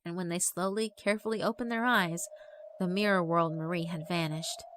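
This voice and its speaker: A woman's voice: lowish voice, steady tone, brisk, fairly bright tone, slight background noise. A woman narrating a scripted visual novel in a theatrical, emphatic storytelling manner. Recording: music behind the voice.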